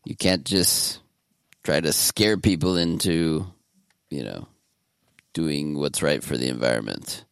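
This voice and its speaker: A man's voice: rumbling low, slow pace, vocal fry, long pauses, smooth, no room sound. A man hosting a podcast in casual chat, talking in a laid-back, meandering way. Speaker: podcast host.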